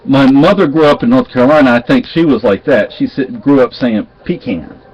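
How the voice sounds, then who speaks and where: Southern-accented Texan man, casual vlogger, folksy and meandering, mid-pitched, muffled lo-fi sound. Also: a little fast, darkish tone, breathy edge. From Texas. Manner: rambling, folksy, with many restarts. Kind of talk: casual storytelling chat. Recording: dull lo-fi sound.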